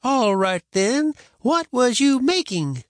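A man acts out a cantankerous old grandmother on the frontier in a storybook. The voice is pitched well up and very animated, grumbling and scolding in plain folksy country speech, recorded dry and close. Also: slow, dry recording, exaggerated voice. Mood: stern. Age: older. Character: cranky, stubborn old frontier grandmother with a cane.

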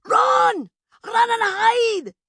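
Terrified nursemaid, played by a woman reader: frantic, breathless, high and raised, in a dry recording. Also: lively intonation, drawn-out words, fairly bright tone, no room sound, shouting, full character voice. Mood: screaming panic. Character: panicked servant nurse woman, gasping and screaming.

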